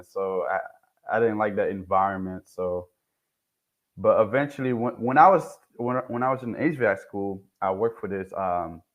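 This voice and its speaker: A young man from Haiti, a technician who is the guest, talking hesitantly about his path into the trade. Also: low-pitched. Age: young adult. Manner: soft-spoken, hesitant, restarts often. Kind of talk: casual interview talk. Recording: dull lo-fi sound.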